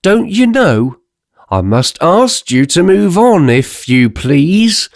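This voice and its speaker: A man voicing a brisk, officious male police inspector who gives curt orders. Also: on the high side, very animated, no echo, clean studio sound, pitched above the performer's own voice, full character voice. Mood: firm. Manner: curt, commanding.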